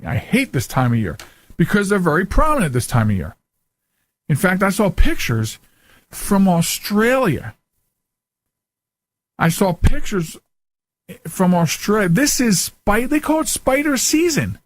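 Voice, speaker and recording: A man's voice: high-pitched, very animated, measured pace, pauses often, no echo. A man hosting a radio show, talking casually and informally in a folksy, conversational way as he gives his commentary.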